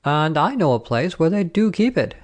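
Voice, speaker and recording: Storybook soldier, a man's performance: earnest, insistent persuader with lifted, animated delivery. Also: a little high, moderate pace, voice pushed up. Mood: eager. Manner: earnest, coaxing, persistent. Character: eager, insistent blue-eyed young French soldier.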